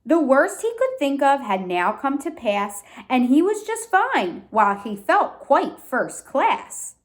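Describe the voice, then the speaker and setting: Slow, expressive woman reading to children. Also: high-pitched. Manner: expressive, simple explanations addressed to children. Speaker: read-aloud teacher for young children. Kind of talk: storybook reading with explanations and questions.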